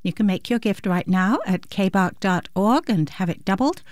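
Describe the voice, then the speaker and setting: A woman's voice: medium pitch, normal speed, darkish tone, no echo. A woman co-hosting on a classical radio station, making a friendly, conversational pledge appeal into a studio microphone. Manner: friendly, conversational, speaks straight to listeners.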